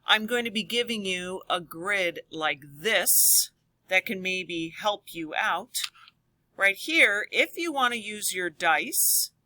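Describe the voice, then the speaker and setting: A woman's voice: fairly low pitch, sharp tone, no room sound, rough recording. A woman teacher reading aloud and explaining to children, speaking expressively and addressing them directly. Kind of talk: children's read-aloud and lesson.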